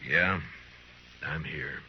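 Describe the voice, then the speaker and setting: An American actor playing a steady, unbending frontier lawman in an old radio western, terse and authoritative, on a vintage recording. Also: very deep voice, drawn-out words, lo-fi sound, a little hiss or hum, heavily acted. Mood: firm, calm. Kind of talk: old-time radio drama acting.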